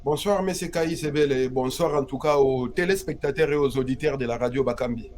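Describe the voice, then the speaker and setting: A man's voice: medium pitch, brisk, darkish tone, slight background noise. A man from the Democratic Republic of Congo, interviewed as an activist, talking emphatically and repeating his key phrases. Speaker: guest activist. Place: Paris.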